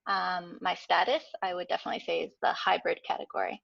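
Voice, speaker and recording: A woman's voice: on the low side, flat delivery, fast talker, vocal fry, fairly bright tone, dry recording. A woman with a Canadian accent introducing herself casually as a panelist, on dry, dull online call audio. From Toronto, Canada. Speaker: panelist, content creator.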